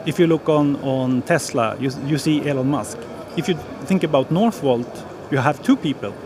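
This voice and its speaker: A man's voice: a little high, normal speed, crowd noise. A man from Sweden speaking as a panelist on an online panel, plain and pragmatic, hesitating and repeating words.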